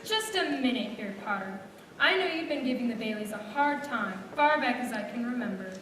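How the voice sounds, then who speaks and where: Woman playing principled bank examiner, blunt, firm, higher voice, radio play acting, strong echo, far from mic. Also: lively intonation, moderate pace, a bit bright. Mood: indignant. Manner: firm, confrontational. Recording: reverberant hall, distant mic, faint noise.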